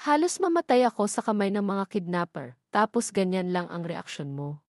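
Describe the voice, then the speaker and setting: A woman's voice: mid-range voice, lively intonation, moderate pace, darkish tone, no echo, studio quality, lightly acted. A woman with a Filipino accent voicing an angry, proud young working woman in an audio drama, emotional and accusing. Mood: angry, betrayed.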